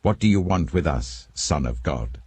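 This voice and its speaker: A man's voice: a bass voice, expressive, normal speed, a little mellow, breathy edge, exaggerated voice. A British man voicing violent, tormented demons in a scripture audiobook, menacing and strained. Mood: defiant fear.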